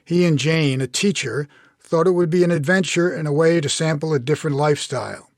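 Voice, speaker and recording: Male author narrator, slightly high register, close dry recording, scripted prose. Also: fairly quick, dry recording. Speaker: author reading own story. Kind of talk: scripted short story narration.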